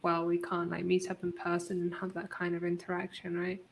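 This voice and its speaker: A woman's voice: lowish voice, monotone, fairly quick, creaky, slight background noise. A woman hosting a livestream interview over a video call, speaking in a friendly way with plenty of fillers. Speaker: host.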